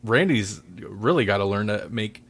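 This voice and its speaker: A man's voice: fairly low pitch, animated, normal speed, fairly bright tone, breathy edge. A man hosting a podcast, talking in a casual, rambling way with joking, self-deprecating asides. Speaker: podcast host.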